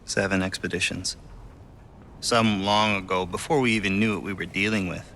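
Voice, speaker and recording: A man playing an earnest, troubled old man with a gravelly voice in a video game cutscene. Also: deep voice, steady tone, moderate pace, a bit bright, a little hiss or hum.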